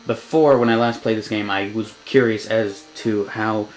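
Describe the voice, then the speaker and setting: A man's voice: on the low side, fairly even intonation, moderate pace, low-quality recording. A man doing casual, joking gameplay commentary, grumbling sarcastically and reading on-screen text aloud. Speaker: let's play commentator. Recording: game music behind the voice. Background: video game music.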